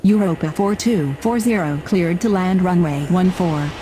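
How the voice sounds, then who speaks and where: A woman's voice: fairly low pitch, moderate pace, close to an everyday voice. A woman playing a businesslike air traffic radio voice in a game, speaking in clipped aviation phraseology. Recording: loud hiss and engine roar.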